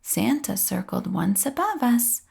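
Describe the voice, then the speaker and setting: A woman reading a children's story aloud with expressive, drawn-out phrasing and a breathy edge at the ends of phrases. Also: mid-range voice. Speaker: storybook reader.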